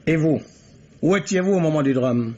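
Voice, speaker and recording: An actor playing a fussy, cautious police commissioner in a French radio mystery play, hesitant and gently pompous in manner. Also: male voice, on the high side, expressive, normal speed, slightly rough. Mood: businesslike, musing. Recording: dull old radio recording, loud car noise.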